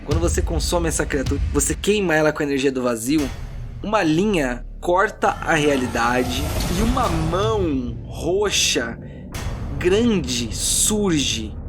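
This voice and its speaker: A man working as game master, narrating a tabletop fantasy adventure in a dramatic storytelling style. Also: on the high side. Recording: background music under the voice.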